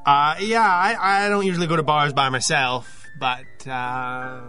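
An actor playing an awkward, earnest photographer in an audio drama, speaking in halting, hesitant phrases. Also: male voice, a little high, fairly even intonation, sharp tone, soft background music. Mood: uneasy, hesitant. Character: awkward, earnest freelance photographer confiding a strange story.

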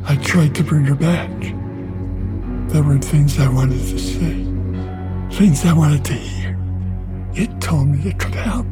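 A grief-stricken church elder speaks in a slow, fairly high male voice. He sounds anguished and afraid, in horror drama style, over scary music. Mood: anguished dread. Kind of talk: dramatic reading of a farewell note in horror audio drama.

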